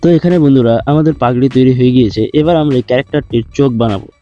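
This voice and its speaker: Fast-talking man with a dark, dull voice. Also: mid-range voice. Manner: steady instructional, addresses viewers as friends. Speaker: tutorial host. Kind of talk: step-by-step screen tutorial. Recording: dull lo-fi with faint music.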